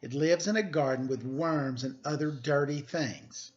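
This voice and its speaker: A man's voice: on the high side, a little slow, lo-fi sound, close to an everyday voice. A man voicing a mean, snooty young schoolgirl in a storybook, with a taunting way of talking.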